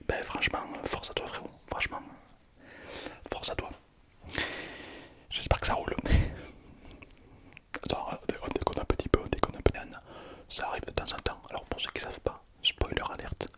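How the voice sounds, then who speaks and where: A young man whispering playfully through an ASMR video, reading comments aloud in casual slang and laughing often. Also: sharp tone. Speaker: ASMR content creator.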